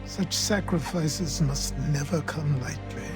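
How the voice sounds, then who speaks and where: A stern, weary mentor in a game cutscene, speaking slowly with grave weight. His voice sits a little higher than usual for a man, under soft music. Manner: measured, grave.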